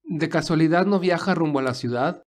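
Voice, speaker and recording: A man acts out a polite, resourceful young man from an audio drama. His voice sits a little high for a man. He speaks in an earnest, reassuring way. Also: a little fast, close to an everyday voice. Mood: courteous, persuasive. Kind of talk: audio story character dialogue.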